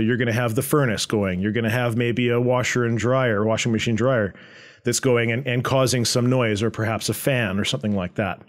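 A man presenting a software tutorial in a conversational, explanatory way with a medium-pitched voice. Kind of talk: informal software tutorial narration.

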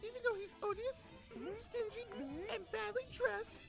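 A woman's voice: very high-pitched, fairly even intonation, a little slow, vocal fry, music in the background, full character voice. A woman voicing a haughty, sarcastic female puppet character in a fan dub. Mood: scornful.

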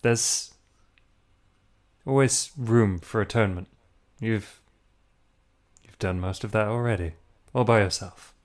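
A man voicing a gentle, devout priest in a tabletop roleplay, measured, halting and earnest. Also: fairly low pitch. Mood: kindly, forgiving.

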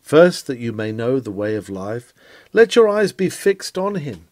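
A man's voice: medium pitch, sing-song, a little slow, darkish tone, no room sound. A man reading a historical sermon aloud in an earnest, exhortatory and rhetorical manner, with dramatic delivery.

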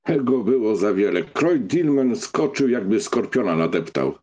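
A man reading literary fiction as an audiobook narrator, in an expressive storytelling style. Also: fairly low pitch, normal speed.

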